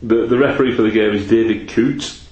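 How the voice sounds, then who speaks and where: Deep-voiced host, echoey room. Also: male voice, steady tone, brisk, a little mellow. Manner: chatty, rattles off stats, tag questions. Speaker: podcast host. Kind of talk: casual football chat. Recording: echoey room, faint noise.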